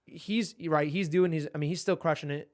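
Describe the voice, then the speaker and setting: A man's voice: fairly high pitch, steady tone, fairly quick, a little mellow. A man in his twenties co-hosting a podcast, talking casually and rambling with many restarts. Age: 20s. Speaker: podcast co-host. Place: in Los Angeles.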